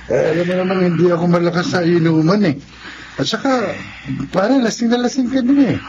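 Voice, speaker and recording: An actor playing a concerned, sensible friend with a Filipino accent, earnest and persuasive in radio drama style. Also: male voice, sits high, a little fast, background noise, close to an everyday voice. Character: concerned, sensible male friend counseling a drunkard. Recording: muffled, music behind the voice.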